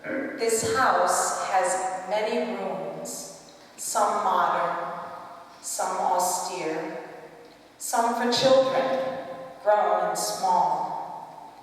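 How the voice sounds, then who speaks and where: A woman poet reciting her own verse in measured short phrases with pauses, recorded from a distance in an echoey hall. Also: lowish voice. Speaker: poet reading own work. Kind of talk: poetry recitation. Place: large reverberant hall at a live reading.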